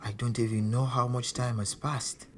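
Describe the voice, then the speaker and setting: A man's voice: mid-range voice, little pitch movement, normal speed, natural voice. Noisy background, actor as a scared stowaway guy telling his story simply, medium male voice, audio drama. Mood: fearful. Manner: simple, short sentences. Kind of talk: first-person audio drama narration. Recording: noisy ambience behind the voice.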